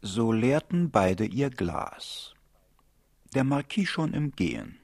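A man's voice: mid-range voice, sing-song, slow, gravelly, a little mellow, no room sound, exaggerated voice. A man acting as the wry narrator of a literary radio drama, telling the story like a storyteller. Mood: wry, dramatic. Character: wry, unhurried male storyteller.

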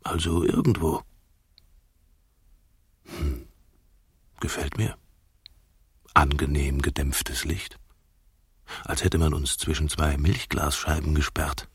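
A male actor playing a mocking, stubborn reluctant groom in a radio play, with a husky, gravelly voice and a teasing, sardonic way of talking. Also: a bass voice, fairly even intonation, takes it slowly, pauses often, no echo, clean studio sound, exaggerated voice. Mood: dry, musing. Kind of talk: radio play dialogue, often hushed.

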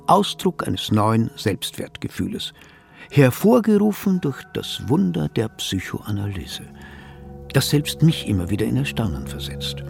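A man's voice: medium pitch, gravelly, a little mellow. An actor playing a self-assured, pompous male psychotherapist in a German radio play, speaking in elaborate, theatrical phrases. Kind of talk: German radio play, dialogue and first-person narration.

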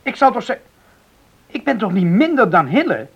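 An actor playing a hot-headed, indignant young drifter in love in a Dutch radio play. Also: male voice, high voice, sing-song, normal speed, a bit bright, breathy edge, dull audio, heavily acted. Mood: aggrieved. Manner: indignant, bantering, protesting.

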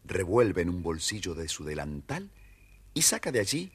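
Deep, very husky storytelling man. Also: huge pitch swings, normal speed. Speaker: storyteller, narrator. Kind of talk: theatrical scripted children's story narration.

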